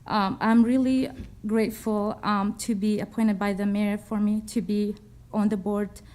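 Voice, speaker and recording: A young woman speaks into a podium microphone in a council chamber. Her voice is mellow and rounded. She delivers a prepared statement earnestly, with small hesitations. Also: mid-range voice, little pitch movement, measured pace. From Kurdistan region of northern Iraq. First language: Kurdish. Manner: earnest, slightly hesitant with fillers. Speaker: commission applicant.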